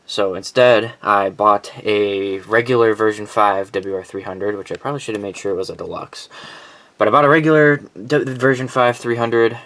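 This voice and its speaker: A man's voice: lowish voice, fairly even intonation, moderate pace, a bit bright. A man casually and informally presenting his collection in a rambling, unscripted vlog.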